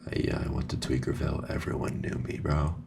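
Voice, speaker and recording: Very deep gravelly-voiced young man whispering. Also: little pitch movement, brisk. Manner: soft-spoken, laid-back, slangy, lots of whispering. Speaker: ASMR creator. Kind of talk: casual ASMR ramble. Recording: clear background noise.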